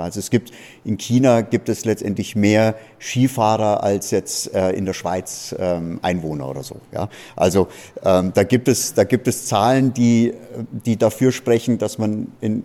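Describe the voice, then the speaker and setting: Panelist, male, low and mellow, rambling with fillers, handheld mic in a reverberant hall. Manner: rambling, fills pauses with "ja" and "letztendlich". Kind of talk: panel discussion answer.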